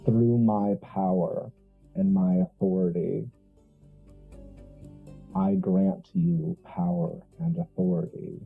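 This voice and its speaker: Gravelly, commanding dark heir acted by a man. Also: deep voice, fairly even intonation, narrow, tinny audio, faint music behind, heavily acted. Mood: solemn, commanding. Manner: grave, measured, ceremonial. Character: solemn, commanding heir claiming a dark kingdom's throne.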